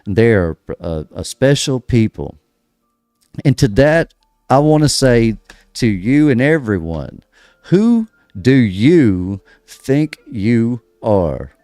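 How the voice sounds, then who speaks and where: An American man preaches in a lively, swinging voice, firing off rhetorical questions with drawn-out pauses. His voice is close and dry, with faint music underneath. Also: mid-range voice, no echo. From United States. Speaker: podcast host. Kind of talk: preaching-style religious commentary.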